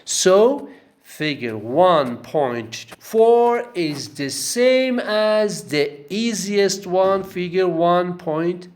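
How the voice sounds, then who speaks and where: A male lecturer taking students through a course text, repeating key phrases and checking in with "okay?". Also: a little high. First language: not Arabic. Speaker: linguistics lecturer.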